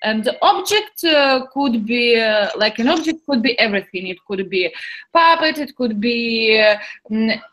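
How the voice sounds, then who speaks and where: A woman's voice: a little high, lively intonation, a little slow, fairly bright tone, poor audio. A young woman from Ukraine chatting in an English speaking club, hesitant, with many fillers and restarts. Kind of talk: casual chat in learner English.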